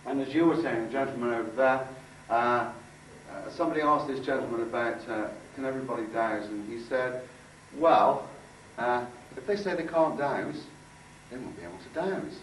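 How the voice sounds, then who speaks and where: A lower-pitched man gives an informal workshop talk to a group. He speaks in a halting, conversational way, circling back over phrases. He is picked up at some distance from the microphone in a very echoey room. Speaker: workshop speaker and aura healer. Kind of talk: informal talk with demonstration and guided visualisation. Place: indoor room before a group. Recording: echoey room, distant mic, faint noise.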